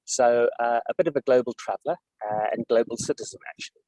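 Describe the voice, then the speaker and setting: A middle-aged man from South Africa with a South African accent, answering interview questions in a measured way, with frequent fillers and restarts. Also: mid-range voice, dark tone, no room sound, low-quality recording. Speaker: guest executive.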